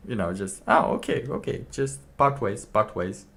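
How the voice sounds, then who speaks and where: A man streaming alone, reacting to a clip with slow, musing casual commentary and laughing to himself. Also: fairly low pitch, vocal fry, fairly bright tone.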